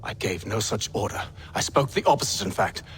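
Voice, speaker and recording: An actor playing a cool, sarcastic Templar leader with a dry, composed delivery in a video game. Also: male voice, on the low side, lively intonation, fairly quick, fairly bright tone, a little hiss or hum. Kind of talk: video game cutscene acting.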